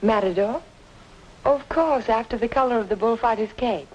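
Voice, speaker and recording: Woman playing an earnest, high-voiced noir heroine. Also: normal speed, a little mellow, lo-fi sound, slight background noise, lightly acted. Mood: curious. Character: earnest, caring young woman in noir drama. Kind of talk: old-time radio noir acting. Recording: old radio drama with music behind.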